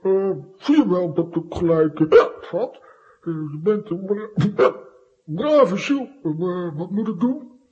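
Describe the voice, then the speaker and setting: High-voiced, gullible comic bumbler. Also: male voice, expressive, darkish tone, lo-fi sound. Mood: gullible, eager. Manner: halting, stammering, with many hesitant ehs. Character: gullible, vain, dim-witted comic adult man, good-hearted. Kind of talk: children's audio drama acting.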